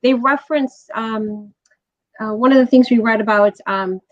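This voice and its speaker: A woman's voice: fairly high pitch, normal speed, no echo. A woman speaking as a guest expert in a casual film discussion, on slightly dull, lo-fi audio. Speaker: guest expert, college professor.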